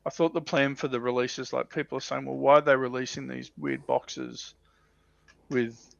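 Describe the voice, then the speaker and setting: Male hobby panellist, gravelly edge, hesitant and repetitive, casual, on a slightly muffled mic. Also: medium pitch, little pitch movement, fairly bright tone, dry recording. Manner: halting, repeats phrases as he thinks aloud. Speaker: livestream panellist on a tabletop wargaming show. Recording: dull, muffled audio.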